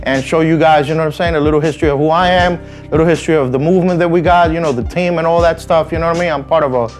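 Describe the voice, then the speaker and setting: A man's voice: a little high, steady tone, rapid-fire, faint music behind. A man in his forties from the Dominican Republic, a guest chatting easygoingly in an interview, with frequent "you know what I mean.". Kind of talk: casual interview chat.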